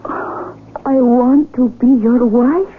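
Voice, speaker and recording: A high-voiced, tenderly coaxing young bride-to-be. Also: female voice, fairly even intonation, extremely slow. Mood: pleading, tender. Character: devoted young village bride-to-be, tenderly coaxing her lover. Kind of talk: radio drama acting. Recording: narrow-band vintage radio broadcast, faint noise.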